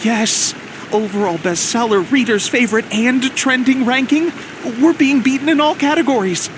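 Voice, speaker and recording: A woman's voice: a little high, moderate pace, background noise, close to an everyday voice. A woman voicing an earnest publishing clerk. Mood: urgent. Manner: earnest, formal reporting. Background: game music.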